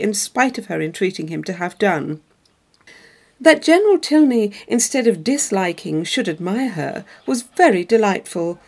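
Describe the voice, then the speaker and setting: A woman reading a classic novel aloud as narrator, measured and expressive. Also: mid-range voice. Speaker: audiobook reader.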